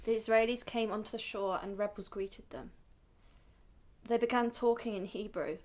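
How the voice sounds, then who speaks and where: Woman playing a measured, solemn storytelling grandmother. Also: a little high, steady tone, a little mellow, lightly acted. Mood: solemn, reflective. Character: weary, dignified Ethiopian-Israeli grandmother recalling her flight. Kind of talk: radio drama narration.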